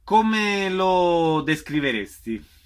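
A man's voice: high voice, big pitch swings, drawn-out words, clear, resonant tone, no echo. A man hosting a radio interview programme, putting questions to guests and announcing dates.

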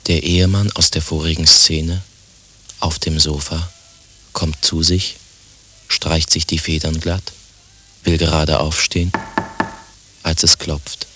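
A man narrating stage directions in a German radio play in a deadpan, scripted delivery. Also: a bass voice, slow pace, pauses often, a little hiss or hum, exaggerated voice. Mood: matter-of-fact.

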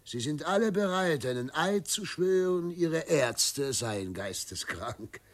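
Pompous, self-assured middle-aged governor. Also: male voice, a little high, lively intonation. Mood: confident, patronizing. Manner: measured, declamatory, pompous. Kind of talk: German radio drama acting.

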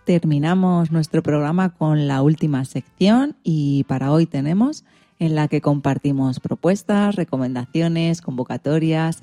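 A woman radio host with a low-pitched, soft-toned voice. She presents semi-scripted segments, with light music under her.

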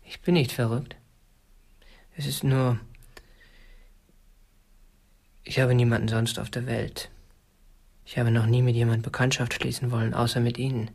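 A man's voice: medium pitch, level tone, normal speed, vocal fry, darkish tone, dry recording. An actor in a radio drama playing a prim, obsessive young man who keeps a woman captive, speaking in a stiff, controlled way. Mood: earnest, brooding. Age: 20s. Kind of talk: radio drama narration and dialogue.

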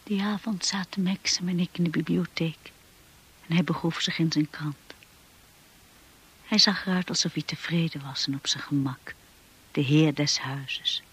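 An actress playing a shy, insecure young wife in a radio drama, with a hushed, breathy voice and a timid, hesitant way of talking. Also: female voice, fairly low pitch, low-quality recording. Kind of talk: radio drama narration and acting.

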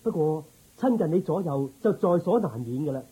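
Reverent royal official, Cantonese, deferential, very husky, dark tone, radio drama. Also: male voice, on the high side, very animated, a little fast, full character voice, low-quality recording. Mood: eager, reverent. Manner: formal, deferential, earnest. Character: loyal, reverent royal minister addressing his prince.

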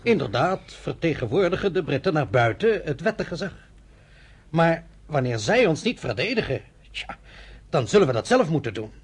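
An actor playing a young, energetic, pragmatic leader, reasoning persuasively in a Dutch radio play. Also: male voice, fairly high pitch, moderate pace, husky, exaggerated voice. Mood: reasoned, persuasive.

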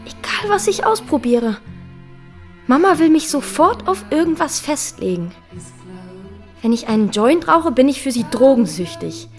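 A young actress playing a questioning, defiant teenage daughter in a radio drama, talking candidly and searchingly. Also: female voice, sits high, lively intonation, measured pace, darkish tone, faint music behind. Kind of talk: German radio drama acting.

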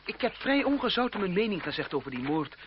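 An actor playing an earnest, polite young gentleman in a Dutch radio play, with a formal manner. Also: high voice, sing-song, fast. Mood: troubled. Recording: dull, noisy old radio play recording.

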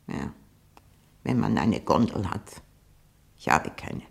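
Creaky, low-voiced imperious old spinster. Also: female voice, animated, full character voice. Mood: suspicious, dismissive. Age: older. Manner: slow, halting, haughty and blunt. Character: very old, shrewd, greedy, imperious spinster aunt. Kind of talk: 1960 German radio play acting.

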